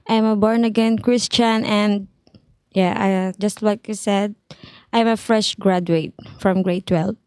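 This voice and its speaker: A twenty-eight-year-old woman, introducing herself slowly and carefully as a podcast guest. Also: mid-range voice, fairly even intonation, darkish tone, clear, resonant tone, no room sound.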